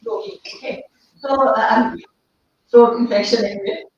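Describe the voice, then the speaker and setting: A middle-aged woman with an Indian English accent and a hoarse voice, giving an animated conference talk and questioning the teachers in the audience. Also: a little high, moderate pace, slight background noise. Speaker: speaker addressing teachers. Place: large echoey hall. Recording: echoey hall from far off, dull.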